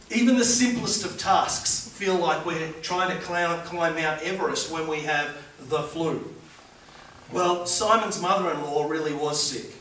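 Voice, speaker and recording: A middle-aged man delivers a sermon in a small church, leaning into key words with earnest emphasis. His voice sits fairly high. The camera is far back in a very echoey room, with a faint hiss over everything. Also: slow, fairly bright tone. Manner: earnest preaching with rising emphasis. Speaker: pastor. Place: small church hall with congregation.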